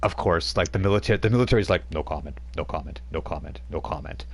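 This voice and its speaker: A man's voice: sits low, fast talker. A man running a tabletop role-playing game on a live stream, rambling with frequent restarts as he narrates. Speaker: game master.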